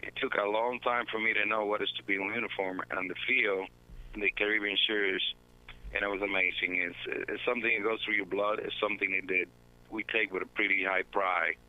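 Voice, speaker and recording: A man's voice: deep voice, level tone, brisk. A man on a tinny phone line. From Dominican Republic. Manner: earnest, reflective storytelling. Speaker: baseball manager guest. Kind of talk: phone interview. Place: at home.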